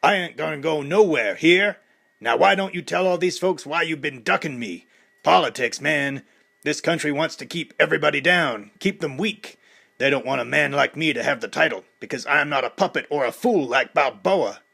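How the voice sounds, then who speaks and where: A man voicing a loud, menacing young male boxer who taunts and boasts about himself. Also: fairly high pitch, moderate pace, creaky, voice pushed up, heavily acted.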